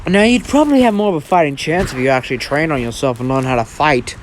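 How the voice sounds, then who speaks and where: A man's voice: fairly high pitch, animated, slight background noise, lightly acted. A man voicing a smug, condescending teenage hero student in an anime-style story, mocking his rival. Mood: smug scolding. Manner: mocking, condescending, drawn-out taunts. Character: smug, taunting teenage superhero student with evil smile.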